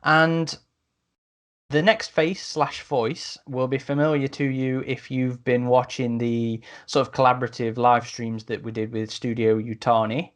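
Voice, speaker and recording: A man hosting a casual podcast chat, with a halting, rambling delivery. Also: medium pitch, little pitch movement. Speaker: podcast host.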